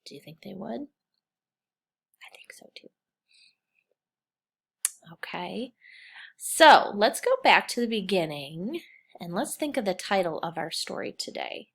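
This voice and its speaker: A woman's voice: mid-range voice, unhurried, vocal fry, long pauses, breathy edge. Woman reading a picture book aloud to kids. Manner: expressive, simple teacherly phrasing with prompts to young children. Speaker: kindergarten teacher reading aloud. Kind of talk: children's picture book read-aloud with questions to listeners.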